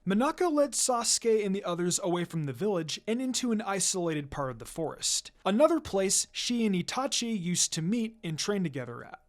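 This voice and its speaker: An androgynous voice narrates a piece of fan fiction. The delivery is very animated, with pitch that moves widely as the scenes are read out. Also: fairly quick, few pauses. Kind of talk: expressive scripted story narration with character dialogue.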